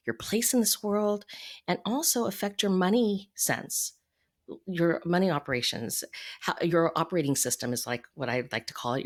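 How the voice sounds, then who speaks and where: A woman from the United States speaking as a podcast guest, telling her personal story in a candid, measured and thoughtful way. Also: sits low. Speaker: podcast guest, financial coach. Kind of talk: reflective personal storytelling in an interview.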